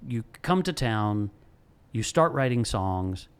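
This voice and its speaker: A man's voice: fairly low pitch, lively intonation, takes it slowly, smooth. A middle-aged man hosting a podcast interview, reacting enthusiastically and prompting his guest in friendly, casual chat. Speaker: podcast host.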